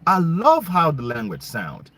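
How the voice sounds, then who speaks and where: A man's voice: on the high side, huge pitch swings, a little slow, background noise. A young man from Congo giving a casual, emphatic opinion monologue full of rhetorical questions, his not English. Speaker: vlogger.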